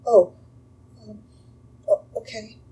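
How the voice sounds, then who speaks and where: A woman's voice: takes it slowly, warm tone, recorded from a distance, natural voice. A woman acting an anxious, pleading young mother haunted by a ghost in an audio drama. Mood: uneasy, hurt.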